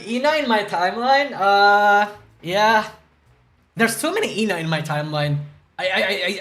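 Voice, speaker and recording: Young male streamer, high-pitched, rambling and profane, groggy and stuttery, faint music behind. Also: fairly bright tone. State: groggy from a hangover. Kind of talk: casual livestream chat reacting to news.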